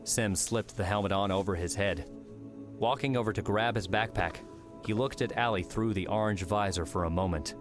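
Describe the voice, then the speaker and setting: A man's voice: fairly low pitch, normal speed, over music. A man narrating an audiobook, reading a scripted adventure story in a dramatic storytelling style.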